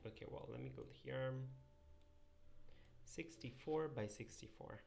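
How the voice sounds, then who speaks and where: A man's voice: mid-range voice, a little slow, vocal fry, a little mellow. A young man whose first language is Italian, casually thinking aloud and answering live chat while he draws pixel art. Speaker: streamer drawing pixel art.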